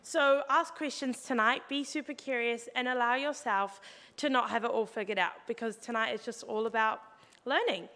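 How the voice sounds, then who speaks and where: Young NZ woman hosting a student evening, high and bright, upbeat, long hall reverb. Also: moderate pace. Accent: New Zealand. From New Zealand. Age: young adult. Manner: upbeat and encouraging. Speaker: student presenter. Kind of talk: presenting to an audience.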